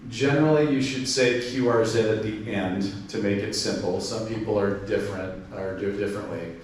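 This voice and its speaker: A man's voice: on the low side, steady tone, normal speed. A man giving an informal club presentation, explaining steps and spelling call signs in radio phonetics, with frequent fillers. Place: club meeting room. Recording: very echoey room, distant mic, faint noise.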